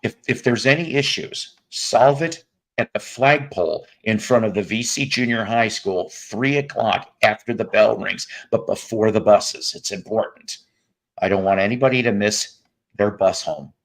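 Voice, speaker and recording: A man with a raspy, hoarse voice hosting a music podcast, joking and rambling through tangents in casual chat. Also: fairly low pitch, normal speed, rough recording. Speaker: podcast host.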